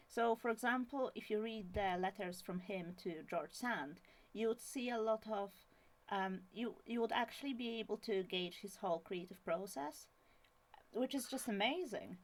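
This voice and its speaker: Young woman, Polish accent, casual solo channel host, chatty with frequent fillers. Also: medium pitch. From Poland. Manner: chatty, many fillers and restarts. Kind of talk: casual book talk to camera.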